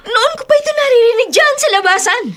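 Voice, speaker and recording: An actress with a Filipino accent playing a distraught young adopted daughter in a radio drama, pleading and emotional. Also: female voice, extremely high pitch, expressive, quick pace, a bit bright. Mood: hurt, confronting. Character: distraught young adopted daughter seeking her real mother.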